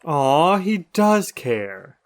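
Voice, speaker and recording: A man's voice: fairly high pitch, big pitch swings, extremely slow, exaggerated voice. A man playing a chatty, upbeat survivor who runs a radio show in an audio drama, talking casually and teasingly.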